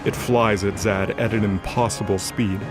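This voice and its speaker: A man's voice: fairly low pitch, steady tone, moderate pace. A man narrating an audio drama in a dramatic scripted style, with music behind him.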